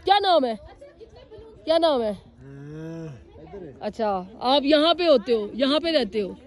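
Young male vlogger, high voice, jumpy and loud, arm's-length phone outdoors with a crowd around. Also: unhurried. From Pakistan. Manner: excitable, squeals and shouts when frightened. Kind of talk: casual travel vlog narration. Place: outdoors on a mountain roadside. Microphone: phone held at arm's length.

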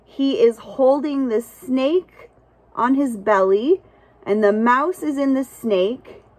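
A young adult woman reading a picture book aloud to children outdoors, with expressive, chatty asides and simple questions for young listeners. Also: sits high, takes it slowly, dry recording. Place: outdoors under a shelter among pine trees.

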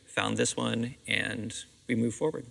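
A man's voice: lowish voice, steady tone, measured pace, gravelly, fairly bright tone. A middle-aged American man answering interview questions in conversation as a theatre director. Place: seated on the stage of a theatre auditorium. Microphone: clip-on lapel microphone. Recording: reverberant empty auditorium.

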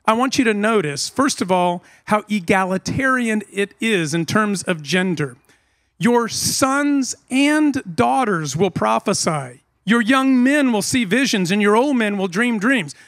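A man's voice: high voice, animated, a little slow, fairly bright tone, no echo. A middle-aged man preaching a sermon outdoors under a tent, earnest and emphatic, into a stand mic. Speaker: pastor.

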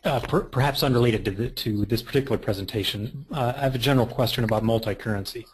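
A man's voice: mid-range voice, fast talker, slightly rough. A man on a video call who asks a detailed question hesitantly, with many "you know"s. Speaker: participant asking a question.

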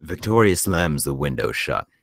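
A man's voice: extremely low pitch, big pitch swings, moderate pace, gravelly, no room sound, clean studio sound. A man reading screenplay stage directions aloud as scripted narration. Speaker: screenplay narrator at a table read.

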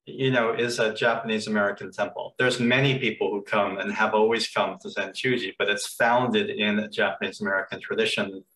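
A man's voice: lowish voice, steady tone, brisk, few pauses, a bit bright. A middle-aged man hosting a temple lecture series on a video call, posing long, rambling questions to his guests. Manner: rambling, repeats words while framing questions. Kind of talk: moderated panel questions. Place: temple hall. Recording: echoey room, mic at a distance.

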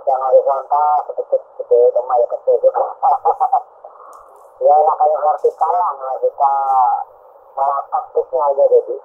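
A man's voice: medium pitch, fairly even intonation, moderate pace. A man chatting casually as a CB operator, heard through a two-way radio. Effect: two-way radio transmission. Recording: narrow, noisy CB radio reception.

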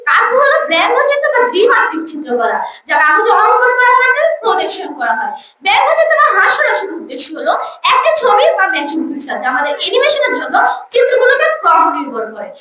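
A young schoolgirl giving a formal, declamatory, rehearsed debate speech. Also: extremely high pitch. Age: child or teenage school student. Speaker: school debate first speaker. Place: school debate event, room. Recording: echoey room, distant mic, noisy background.

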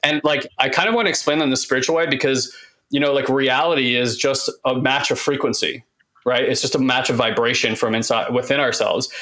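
Guy co-hosting a podcast, low monotone bassy voice but bright tone, rambles on, swears a bit. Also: fairly quick. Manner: rambling, enthusiastic, swears casually. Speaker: podcast co-host. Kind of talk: casual conversation.

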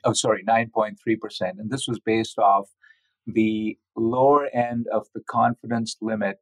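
A man giving measured, methodical explanations as an interviewed medical researcher. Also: lowish voice, no room sound, low-quality recording.

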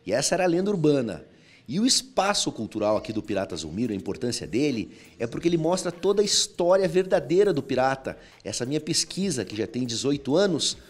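Man explaining, medium-pitched voice. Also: a little mellow. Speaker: historical researcher. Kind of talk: explanatory TV interview. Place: small indoor restaurant room. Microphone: handheld microphone.

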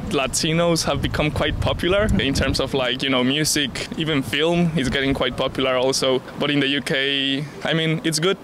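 A man's voice: medium pitch, fairly even intonation, normal speed, few pauses, fairly bright tone. A young man from Mexico answers a street interviewer in a city plaza. His voice sits in the middle of the range, and he talks in a thoughtful way full of fillers. A faint street hum runs underneath. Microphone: handheld mic held by interviewer.

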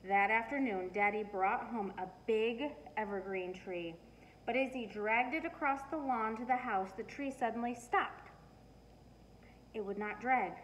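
Higher-voiced woman reading a storybook. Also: unhurried, highs cut off. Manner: expressive, emphatic storytelling. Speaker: teacher reading aloud. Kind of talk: children's storybook read-aloud. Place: living room, indoors. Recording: noisy living room recording.

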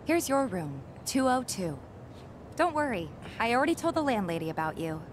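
A kind young barkeep. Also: female voice, fairly high pitch, very animated, normal speed, noisy background. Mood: warm. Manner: gentle, a bit flustered. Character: kind young woman bar owner, caring and earnest. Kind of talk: video game voice acting.

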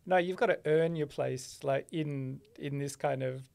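A man, a photographer and podcast guest, talking in a relaxed, reflective way on a studio mic in a small podcast studio. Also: a little high, measured pace, vocal fry. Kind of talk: casual interview. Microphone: studio mic on arm, headphones.

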